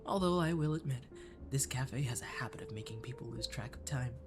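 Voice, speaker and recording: Catboy cafe waiter , male performer, mid-to-high pitched for ASMR comfort roleplay: gentle, flirty, whispery, music underneath. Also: expressive, a little fast, lightly acted. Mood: tender, coaxing. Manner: soft-spoken, drifting into whispers.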